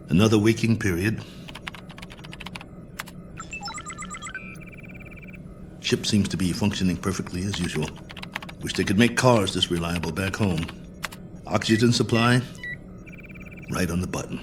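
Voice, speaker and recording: An actor playing a lonely, bored astronaut colonel in a radio drama, speaking in a wry, musing, self-mocking manner. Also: male voice, fairly low pitch, noisy background, natural voice. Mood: dry, routine. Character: lonely, bored male astronaut colonel, lone probe pilot. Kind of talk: radio drama acting, spoken log entries.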